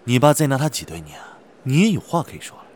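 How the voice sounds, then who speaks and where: A man's voice: mid-range voice, sing-song, fast, slight background noise, lightly acted. A man acting a gossipy, teasing young rich friend in an audio drama, with a casual way of talking. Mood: teasing, curious.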